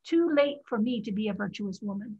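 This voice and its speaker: A woman's voice: medium pitch, lively intonation, fairly quick, mellow, husky. A woman playing a defiant fifteen-year-old girl raised as a boy, in an earnest staged play reading. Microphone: video call. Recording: dull video call audio.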